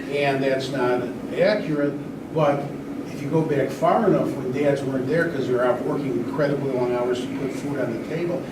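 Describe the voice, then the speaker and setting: A man speaking on an informal discussion panel, explaining his view haltingly and repeating words as he goes. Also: medium pitch. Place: large room at a live event. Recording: echoey room, distant mic, noisy background.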